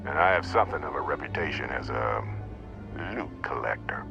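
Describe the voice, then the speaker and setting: A man's voice: extremely low pitch, expressive, brisk, vocal fry, highs cut off, music in the background, heavily acted. An actor playing a genial, talkative male space explorer in a video game, with a jaunty, wry, easygoing manner. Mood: earnest, musing. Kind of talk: video game cutscene acting.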